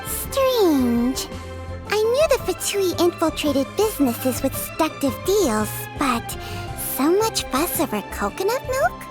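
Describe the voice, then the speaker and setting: An extremely high, squeaky little sidekick, swinging up and down animatedly. The small childlike game companion is sassy, blunt and pert, with music clearly behind. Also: female voice, big pitch swings, slow, full character voice. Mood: suspicious. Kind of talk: video game dialogue acting. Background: background music.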